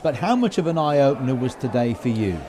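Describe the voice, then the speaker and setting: A man with a mid-pitched voice interviews guests in a conversational podcast style. A lively crowd is clearly audible around him. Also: a little fast. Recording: busy venue with crowd chatter behind. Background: crowd chatter.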